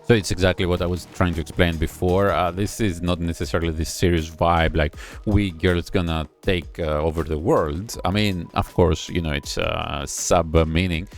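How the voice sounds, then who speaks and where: Male reviewer with a very low, gravelly voice doing an unhurried, explanatory reaction commentary. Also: fairly even intonation, soft background music. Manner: unhurried, explaining step by step with many pauses. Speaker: music video reviewer. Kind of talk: casual reaction and analysis commentary.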